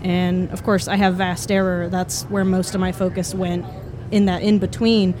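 A woman guest at a live podcast in a bar, speaking earnestly and warmly about what a fandom means to her, with hesitant fillers. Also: on the low side, little pitch movement, normal speed, noisy background. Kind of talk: sincere personal reflection.

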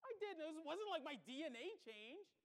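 A man's voice: very high voice, sing-song, moderate pace. A man from the Bahamas, middle-aged, preaching as a pastor with personal storytelling and an emphatic, repetitive, questioning delivery. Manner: emphatic, with repeated phrases and rhetorical questions.

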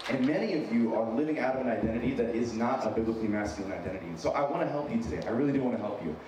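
An American man with a slight Minnesotan accent speaks as a conference preacher in a mid-range voice. He is earnest, casual and self-deprecating. The sound is very reverberant and distant, with clear background noise. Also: mid-range voice, racing, a little mellow. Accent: American with a slight Minnesotan touch. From United States, born in San Diego and raised in Wyoming. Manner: informal, self-deprecating, earnest, emphatic repetition. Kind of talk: conversational sermon to an audience. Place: large echoey room with an audience. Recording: very echoey noisy hall, distant mic.

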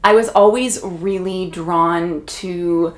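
A woman's voice: fairly low pitch, steady tone, slow. A woman from Canada, a guest in an interview, talking in a reflective, chatty way with many fillers. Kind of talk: conversational interview. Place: room in Toronto.

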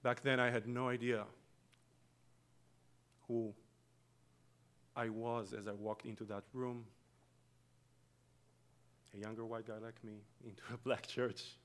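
A man from Israel with an Israeli accent, a native Hebrew speaker, giving a slow, reflective guest sermon in a church. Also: mid-range voice, level tone. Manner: slow, reflective, with long pauses and soft trailing phrases. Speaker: guest preacher. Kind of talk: meditative sermon with chanted Hebrew opening.

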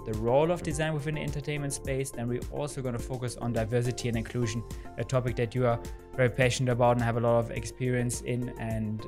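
A man with a mid-range voice hosts a podcast, reading an introduction and then asking earnest questions full of fillers. Clear music plays behind his voice. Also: mid-range voice, little pitch movement, fast talker. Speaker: podcast host.

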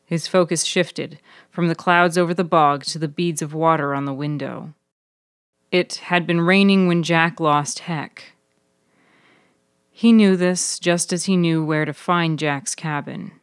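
Low-voiced woman narrating fiction. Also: fairly even intonation, a little slow, clean tone, no room sound, clean studio sound. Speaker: author and narrator.

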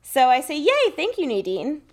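A woman podcast host talking casually in an animated, chatty way, like someone telling a friend a story and dramatising it. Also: high-pitched, normal speed. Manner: animated, chatty, reading emails aloud dramatically.

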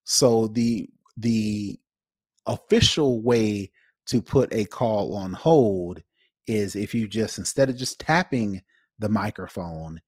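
A man's voice: lowish voice, takes it slowly, no room sound, clean studio sound. A man co-hosting a podcast in casual chat, explaining things informally and circling back to rephrase. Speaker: podcast co-host.

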